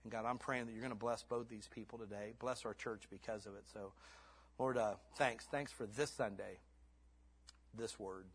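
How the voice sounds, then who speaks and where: A man in his fifties preaching a sermon in church. He swings between hushed, intimate lines and fervent, rising exhortation, then closes in prayer. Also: on the low side, fairly even intonation, normal speed, breathy edge. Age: 50s. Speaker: pastor.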